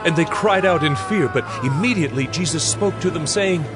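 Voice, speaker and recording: A man's voice: a little high, brisk. A man giving a dramatic scripted scripture narration. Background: music.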